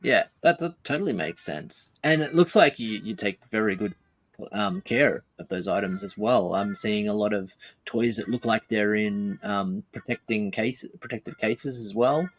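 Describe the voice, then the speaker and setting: A man hosting a podcast in casual chat, talking in a halting way and repeating words as he goes. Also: fairly low pitch. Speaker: podcast host.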